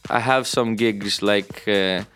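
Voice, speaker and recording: A man from Canada and Greece giving casual interview answers as a DJ, often halting to search for words. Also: on the low side, steady tone, fairly bright tone, clean tone. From born in Canada, moved to Greece at thirteen. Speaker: DJ and music producer. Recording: music playing underneath. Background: music.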